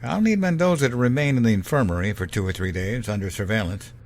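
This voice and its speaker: A man playing a calm, reassuring, seasoned ship's doctor in a science fiction audio drama. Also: fairly low pitch, fast talker, close to an everyday voice.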